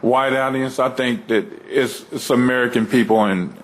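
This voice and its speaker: A man hosting an interview, talking in a relaxed, conversational way and affirming his guest. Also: mid-range voice, normal speed. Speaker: interview host. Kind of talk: casual interview.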